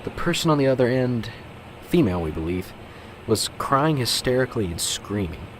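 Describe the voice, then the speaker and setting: A man playing an earnest, dutiful young police officer in an amateur radio drama, measured and by-the-book. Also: mid-range voice, big pitch swings, natural voice. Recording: clearly noisy, vehicle-like background ambience.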